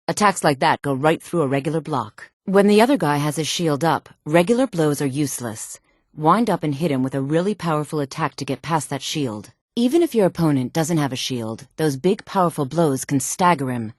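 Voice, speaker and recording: Low-voiced sultry, self-assured fantasy woman. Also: darkish tone, no room sound, clean studio sound. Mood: firm, instructive. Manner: sultry, poised, measured, haughty. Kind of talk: video game character dialogue.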